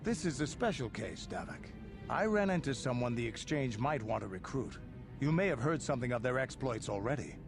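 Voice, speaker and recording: An actor playing a tough, pragmatic male mercenary in a video game, with a gruff, blunt manner. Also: mid-range voice, sing-song, brisk, over music. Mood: matter-of-fact. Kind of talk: dramatic video game acting.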